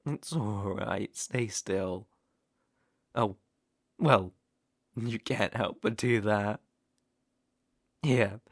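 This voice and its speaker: A British man playing an obsessive, softly possessive stalker in an intimate ASMR roleplay, hushed and coaxing. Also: mid-range voice, creaky, no echo, studio quality.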